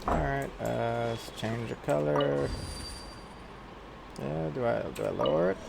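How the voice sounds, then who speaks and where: A man's voice: mid-range voice, measured pace, creaky, noisy background. A man giving casual gameplay commentary, muttering to himself and repeating his words. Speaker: gamer. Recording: loud game music behind.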